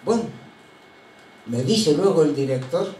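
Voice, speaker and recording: High-voiced older pastor, distant and roomy. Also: male voice, lively intonation, darkish tone, slight background noise. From Chile. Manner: unhurried anecdotal storytelling with frequent hesitations. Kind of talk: sermon. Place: church sanctuary. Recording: roomy church sound from a distant mic.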